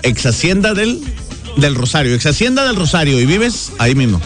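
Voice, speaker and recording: A man's voice: on the high side, sing-song, moderate pace, fairly bright tone. A man with a Monterrey accent hosting a radio show, teasing callers in slangy banter. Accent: northern Mexican, Monterrey. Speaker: radio host. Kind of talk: casual morning-radio banter. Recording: music bed playing behind.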